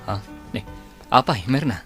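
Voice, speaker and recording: An actor playing a worried, hesitant and deferential member of a small group in an Ilocano radio drama. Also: male voice, mid-range voice, lively intonation, moderate pace, a bit bright, breathy edge, faint music behind, exaggerated voice.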